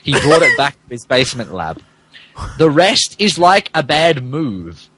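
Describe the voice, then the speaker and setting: A man's voice: a little high, big pitch swings, measured pace, a bit bright, slightly rough. A man narrating fan fiction as a comedic dramatic reading, stumbling over words and adding asides. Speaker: podcast host reading as narrator.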